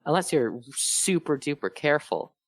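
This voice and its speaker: A man's voice: a little high, very animated, moderate pace, no echo. A man chatting casually as a panelist, sharing his experience in an earnest, phrase-by-phrase way. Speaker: guest panelist.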